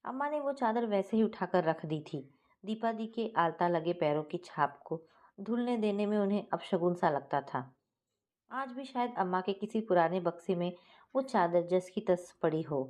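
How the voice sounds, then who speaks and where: A woman's voice: lowish voice, animated, normal speed, a little mellow. Woman narrating a family story. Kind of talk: scripted first-person family story narration.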